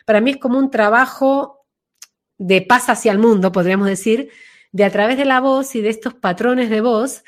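A woman's voice: fairly high pitch, normal speed. A woman recovering from flu, explaining as an interview guest in a reflective way, repeating phrases for emphasis. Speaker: interview guest, voice work coach.

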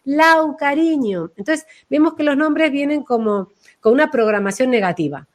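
A woman explaining her own method as a voice practitioner in an expressive, conversational way, illustrating her points as she goes. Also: high voice, moderate pace. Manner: expressive, acts out names and quotes to illustrate points. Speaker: voice and name-energy practitioner being interviewed.

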